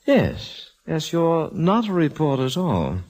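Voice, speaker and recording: A man playing a sly, smug villain with mocking courtesy and a husky voice in 1940s radio detective drama. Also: fairly high pitch, huge pitch swings, unhurried, a little mellow, heavily acted. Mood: smug, flirtatious.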